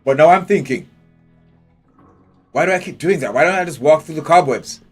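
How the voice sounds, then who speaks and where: A man's voice: a little high, lively intonation, moderate pace, husky. A man streaming a game live, thinking aloud in a blunt, profane way and nitpicking every detail. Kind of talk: live gameplay commentary. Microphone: headphones with a desk mic. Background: faint game music.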